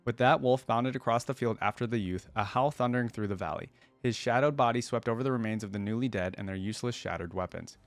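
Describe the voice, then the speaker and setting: A man's voice: mid-range voice, fast. A man hosting a reaction video, commenting casually and reading story passages aloud. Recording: roomy echo, faint music behind.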